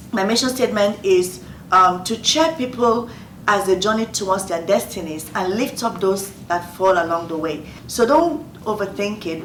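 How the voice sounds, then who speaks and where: A woman's voice: medium pitch, a bit bright. A woman in her fifties from Nigeria with a Nigerian accent, giving earnest advice in a Q&A and repeating phrases for emphasis. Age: 50s. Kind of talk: motivational Q&A talk. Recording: roomy distant mic, faint noise.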